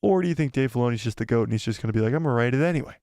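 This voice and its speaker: A man's voice: medium pitch, animated, rapid-fire, a little mellow, no room sound. Chatty male live-stream host, dry sound. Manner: chatty, rambling, reads out viewer comments. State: losing his voice. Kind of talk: casual live-stream commentary.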